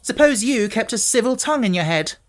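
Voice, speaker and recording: A woman's voice: fairly high pitch, animated, a little fast, pitched above the performer's own voice, close to an everyday voice. A woman voicing a grumpy, blunt schoolboy in a storybook, with a snappish, brusque manner.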